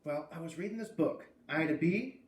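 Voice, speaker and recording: Man as earnest bookish schoolgirl, gently confiding, children's storybook, roomy, distant mic. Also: on the high side, moderate pace, close to an everyday voice. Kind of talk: children's novel read-aloud. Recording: roomy, distant mic, faint background noise.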